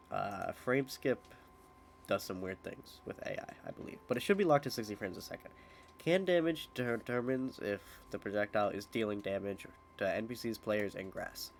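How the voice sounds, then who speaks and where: A man's voice: fairly low pitch, a little hiss or hum. A young man hosting a coding tutorial, explaining step by step with frequent "uh"s, his voice slightly off after being sick. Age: young adult. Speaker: modding tutorial host. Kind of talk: screen-recorded coding tutorial.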